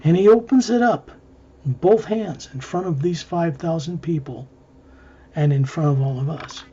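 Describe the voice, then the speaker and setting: A man leading an improvised guided visualization, narrating in measured, halting short phrases. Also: a little high, mellow, breathy edge, muffled recording. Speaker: guided meditation leader.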